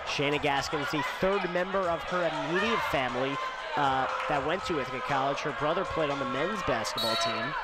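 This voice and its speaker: A man's voice: on the high side, a little fast. A man working as color commentator, giving live analysis of a basketball game. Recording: very loud noisy gym broadcast. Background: loud gym noise and ball bounces.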